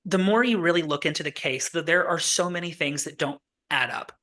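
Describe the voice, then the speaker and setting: A playwright guest in a casual interview, talking in loose, rambling sentences. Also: male voice, a little high, fairly quick, sharp tone. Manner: rambling, many fillers and restarts.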